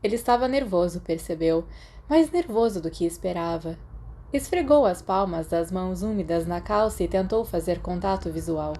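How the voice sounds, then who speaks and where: A woman's voice: lowish voice, animated, moderate pace, dark tone, slight background noise. A woman with a Brazilian accent reading scripted fiction as an audiobook narrator. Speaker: podfic narrator.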